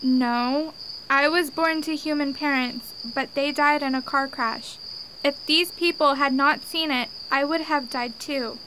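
Radio drama child, played by a woman: high, stubborn, earnest, oddly formal delivery on a noisy recording. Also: fairly even intonation, unhurried. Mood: defiant. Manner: stilted, formal speech without contractions. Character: earnest, stubborn little girl adopted by aliens. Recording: noisy, with cricket-like background.

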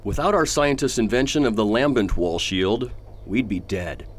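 A man playing a grave, authoritative galactic council leader in a science fiction radio drama, deliberate and formal in his commands. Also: lowish voice, animated, a little hiss or hum, lightly acted. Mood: measured, determined.

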